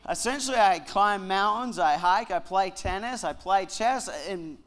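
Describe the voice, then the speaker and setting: A Canadian man with a slight Southern twang, giving a joking sermon-style lecture with slow, deliberate emphasis on key words. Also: sits high, a bit bright. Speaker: guest speaker at a Bible lectureship.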